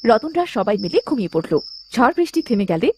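A woman's voice: a little high, sing-song, a little fast, dark tone, slight background noise. A woman reading scripted narration for a cartoon fairy tale. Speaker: story narrator.

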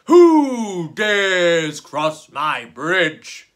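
A storyteller voicing a giant, grisly male troll who guards a bridge, speaking in a booming, slow, drawn-out voice. The voice is loud and menacing. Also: sing-song.

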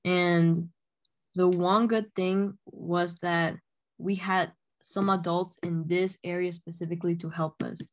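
A woman's voice: fairly low pitch, level tone, takes it slowly. A young woman from Honduras, earnestly telling her story as an activist over a video call from her bedroom, with many "um"s and "like"s. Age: young adult. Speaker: youth climate activist. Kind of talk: casual spoken account. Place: bedroom at home, indoors. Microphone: laptop webcam, video call.

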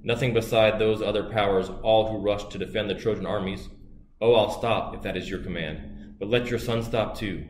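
A man voicing a furious, boastful male river god who shouts and pleads in turn. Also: steady tone, normal speed, close to an everyday voice. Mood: pleading. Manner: booming, then pleading and desperate. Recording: roomy with faint hiss.

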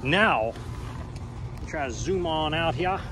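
A man's voice: on the high side, animated, slow, noisy background. A man in his thirties working as an HVAC technician, explaining a repair step by step outdoors and often checking in with "okay?". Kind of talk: hands-on how-to explanation. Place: outdoors by an air conditioning unit in a yard. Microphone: camera mic, handheld or on a tripod.

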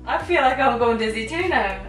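A woman's voice: on the high side, lively intonation, brisk, faint music behind. A woman in her twenties chatting casually and playfully as a vlog co-host. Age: 20s. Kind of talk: casual playful challenge banter. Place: small bedroom, indoors. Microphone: camera a couple of metres away. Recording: roomy, distant camera mic.

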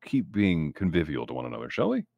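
A man roleplaying a calculating corporate representative, talking in wordy, formal, deliberate phrases as he improvises. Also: a bass voice, wildly swooping pitch, vocal fry, dry recording, muffled recording. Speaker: tabletop game player. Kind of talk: in-character improvised roleplay dialogue.

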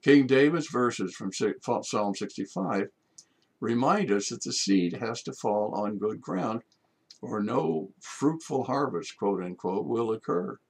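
An older man, a priest, delivering a measured homily from his study, with rhetorical questions. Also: medium pitch, lively intonation, vocal fry, husky. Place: home study with bookshelves.

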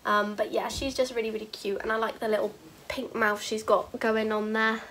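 A woman's voice: a little high, little pitch movement, normal speed, a bit bright. A British woman in her twenties, a vlogger chatting to camera in her bedroom, gushing and repeating herself, a bit bunged up with a cold. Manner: gushing, repeats "really, really cute". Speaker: haul vlogger. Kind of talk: casual chat to camera. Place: bedroom, indoors.

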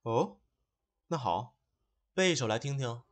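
A woman's voice: a bass voice, lively intonation, measured pace, heavily acted. A woman playing a haughty young male courtesan and poet in a comic audio drama, self-important and declamatory. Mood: pompous. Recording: loud music behind the voice.

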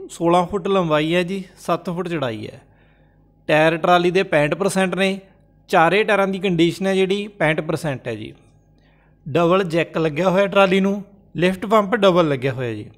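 High-voiced Punjabi man reading sale ads. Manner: brisk salesman patter, repeats prices and phone numbers. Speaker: host of a used farm machinery sales channel. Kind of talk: voice-over listing items for sale.

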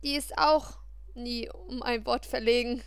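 A woman's voice: fairly high pitch, fairly even intonation, a little slow, clean tone, no room sound. A woman voicing a friendly, fatherly male taxi driver in an audiobook, chatty and kind. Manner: chatty, kindly. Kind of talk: audiobook character voice.

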